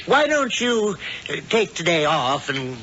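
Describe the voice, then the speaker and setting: An actor playing a sinister middle-aged warlock who poses as a kindly uncle, grandiose and fussily avuncular, in 1970s radio drama style. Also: male voice, on the high side, big pitch swings, unhurried, pushed and bright, heavily acted. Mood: flustered. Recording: muffled, noisy vintage radio broadcast.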